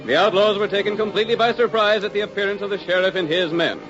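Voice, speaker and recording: A man's voice: high-pitched, fairly even intonation, fast talker, natural voice. A man narrating a western in a dramatic, urgent 1940s radio style. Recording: muffled old radio broadcast. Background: music.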